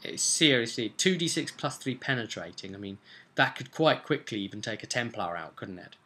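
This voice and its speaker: A man's voice: medium pitch, animated, normal speed, pushed and bright. A young adult man talking casually as a game master explaining a tabletop role-playing session, stressing key words conversationally. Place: small cluttered room at home, indoors.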